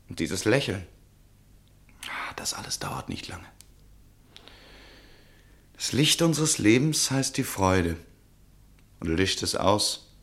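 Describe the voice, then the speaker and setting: An actor playing an anxious, resigned nobleman and husband, speaking in earnest, measured, formal German radio drama style. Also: on the low side. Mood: gloomy, resigned. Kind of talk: German radio play acting.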